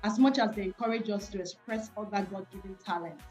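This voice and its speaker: A woman's voice: medium pitch, a little fast, darkish tone, faint music behind, rough recording. Nigerian woman in an earnest interview. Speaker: interview guest, gospel singer. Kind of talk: personal interview talk.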